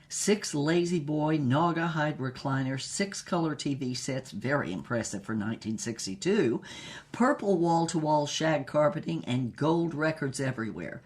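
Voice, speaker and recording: A woman playing a worldly, brassy older former starlet who tells racy memoirs in a husky voice, wryly and unhurriedly, with dramatic pauses. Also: very deep voice, darkish tone. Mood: sultry, dramatic. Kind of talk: comic scripted memoir narration.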